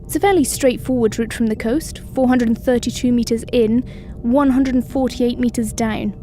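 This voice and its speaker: A woman's voice: fairly high pitch, moderate pace, mellow, faint music behind, close to an everyday voice. An actress playing a precise, matter-of-fact female mission controller in orbit, in an audio drama.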